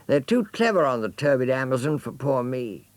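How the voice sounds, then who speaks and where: Man putting on a high, whiny young jaguar cub. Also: big pitch swings, moderate pace, dry recording, lightly acted. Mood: whiny, bewildered. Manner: stammering, plaintive.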